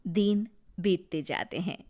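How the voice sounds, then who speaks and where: A woman narrating a cartoon moral story in a calm, even storytelling manner. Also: on the low side, slow.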